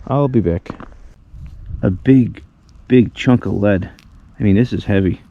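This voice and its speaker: A man from Western New York with a hoarse voice from a cold, narrating his metal detecting finds outdoors in the snow, rambling and excited over each relic. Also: on the low side, a little slow, phone-quality sound. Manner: rambling, enthusiastic about finds, many fillers. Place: outdoors in a snowy old park.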